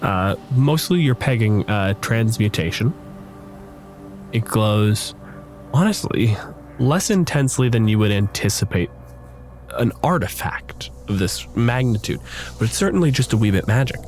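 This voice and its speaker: Male game master, slightly low voice, casual explanatory narration, conversational, faint music underneath. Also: animated, a little slow, darkish tone.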